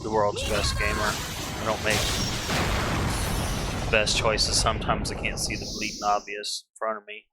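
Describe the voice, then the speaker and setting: A man giving casual, rambling live-stream commentary while playing a game, slightly hoarse and often correcting himself. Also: fairly low pitch, monotone, normal speed, long pauses, heavy background noise.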